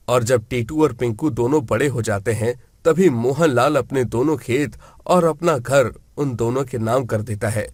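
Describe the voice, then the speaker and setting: A man's voice: medium pitch, darkish tone, dry recording. A man narrating a scripted moral story as a storyteller.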